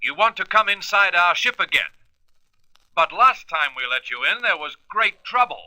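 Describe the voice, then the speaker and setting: An actor playing a daring, commanding space adventurer in a radio serial, earnest and emphatic. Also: male voice, high voice, big pitch swings, normal speed, bright, forceful tone, no echo, full character voice, low-quality recording. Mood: resolute.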